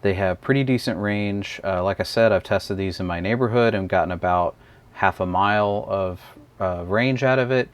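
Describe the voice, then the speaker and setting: A man's voice: fairly low pitch, normal speed. A man casually reviewing a gadget as he demonstrates it, thinking aloud with frequent ums and uhs. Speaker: product reviewer. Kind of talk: casual unscripted product demo.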